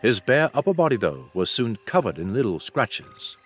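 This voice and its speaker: A man's voice: medium pitch, big pitch swings, moderate pace, a bit bright, husky, soft background music. A man reading a fantasy novel aloud as scripted audiobook narration.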